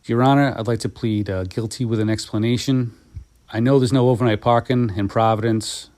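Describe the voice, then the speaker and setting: A man's voice: on the low side, a little mellow. A man from Rhode Island with a local accent, making a jokey mock plea in a listener recording.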